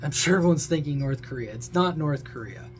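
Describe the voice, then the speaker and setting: High-pitched blunt man ranting. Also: animated, fairly quick, faint music behind. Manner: blunt, sarcastic, crude, exasperated. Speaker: social media creator and CB radio hobbyist. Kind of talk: casual opinionated rant to viewers.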